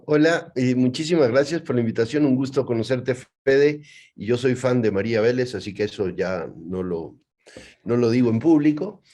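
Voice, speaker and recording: Man, mexican accent, webinar panelist, mellow tone, repeats words mid-sentence, video call audio. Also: medium pitch, moderate pace. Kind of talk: webinar self-introduction.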